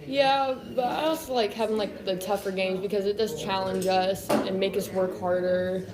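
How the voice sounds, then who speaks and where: A woman's voice: mid-range voice, steady tone, normal speed, over loud music, poor audio. A teenage girl basketball player answering in a plain, matter-of-fact way. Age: teens. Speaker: high school basketball player. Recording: crowd noise in a gym.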